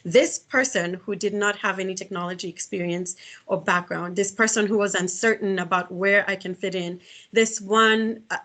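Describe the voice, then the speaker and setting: Kenyan woman, middle-aged, earnest guest speaker, mid-pitched, frequent restarts, video call. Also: fairly bright tone. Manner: earnest, many restarts and fillers. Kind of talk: online talk.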